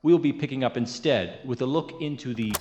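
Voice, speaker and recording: An actor playing a courteous, dismissive chemistry professor in an audio drama, speaking in a formal, measured, gently condescending manner. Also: male voice, medium pitch, highs cut off, background noise, lightly acted. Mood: formal, composed. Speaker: substitute lecturer. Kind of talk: audio drama, lecture and office talk.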